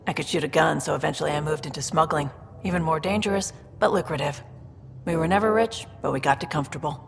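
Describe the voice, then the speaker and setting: Tough alien smuggler lady in a game, low creaky voice, dry and guarded. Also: quick pace, big-room sound, soft background music. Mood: dry, candid. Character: tough, guarded female alien smuggler, pragmatic older sister. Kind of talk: video game character acting.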